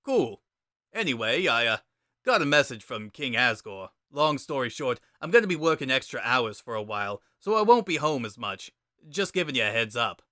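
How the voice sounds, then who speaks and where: Laid-back skeleton acted by a man, wry, deadpan, casual, offhand storybook character, close dry recording. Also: medium pitch, moderate pace, no echo. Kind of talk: dramatic reading of a fan story.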